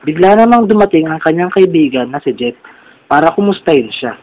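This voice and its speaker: A man's voice: fairly high pitch, expressive, fairly quick, darkish tone, no room sound, rough recording. A man with a Filipino accent giving scripted storytelling narration for a radio drama. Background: music.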